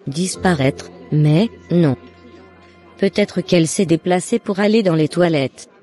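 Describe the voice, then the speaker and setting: A woman acting a skeptical young cousin in an animated drama, casual and teasing. Her voice is dark and relaxed, with soft music behind it. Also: fairly low pitch, measured pace, close to an everyday voice. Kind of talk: scripted casual animated dialogue.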